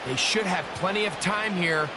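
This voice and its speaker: A man's voice: high-pitched, normal speed, very noisy, lightly acted. A man with an American accent voicing a folksy football color analyst who explains the game with emphasis. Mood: explanatory. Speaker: color commentator. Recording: loud music behind the voice.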